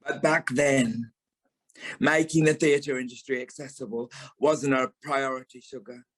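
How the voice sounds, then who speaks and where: An actor playing a sentimental, camp middle-aged British performer in an audio play, with a theatrical, affectionate manner. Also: male voice, mid-range voice, fairly even intonation, measured pace, fairly bright tone. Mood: earnest, consoling. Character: sentimental, camp older male performer, wry and fond.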